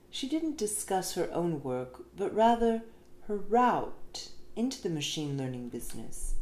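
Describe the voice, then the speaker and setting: A woman's voice: fairly low pitch, mellow. A woman reading an essay aloud as scripted narration, in a roomy recording with faint noise behind her. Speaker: reader of an essay.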